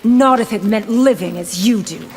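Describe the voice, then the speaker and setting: A woman's voice: medium pitch, moderate pace, gravelly, lightly acted. A woman voicing a dignified, resolute warrior princess, stern and reproachful, in a fighting video game. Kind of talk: video game character dialogue. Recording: loud background noise.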